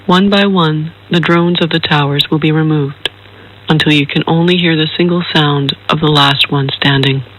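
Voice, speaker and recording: A man reading a scripted introduction in a measured, formal, careful way as the maker of the work. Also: fairly high pitch, steady tone, a bit bright, low-quality recording. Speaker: filmmaker introducing their own film and radio piece.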